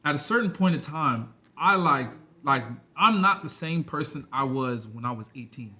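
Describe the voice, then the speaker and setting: A man's voice: fairly high pitch, animated, normal speed, bright, forceful tone. A man in his twenties, a podcast guest, talking loosely and rambling in a casual conversation. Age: 20s. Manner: loose and rambling, full of "you know what I'm saying".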